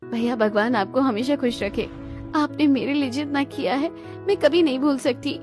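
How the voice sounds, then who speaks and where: A woman's voice: high voice, moderate pace, a little mellow, faint music behind, natural voice. A woman voicing a sweet, devoted younger sister in a family cartoon, with an affectionate, pleading manner. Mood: devout, grateful.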